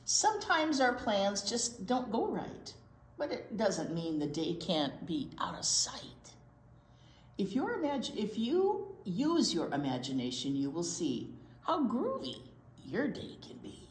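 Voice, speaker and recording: A woman's voice: mid-range voice, wildly swooping pitch, unhurried, a little hiss or hum. A woman reading a picture book aloud to young children as a storytime teacher, expressively drawing out key words and asking little questions. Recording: roomy, echoey indoor sound.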